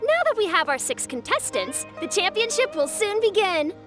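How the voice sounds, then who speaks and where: A woman's voice: very high-pitched, sing-song, normal speed, over music. A woman voicing an enthusiastic, formal championship announcer in a video game, polished and ceremonious. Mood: excited. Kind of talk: video game character acting.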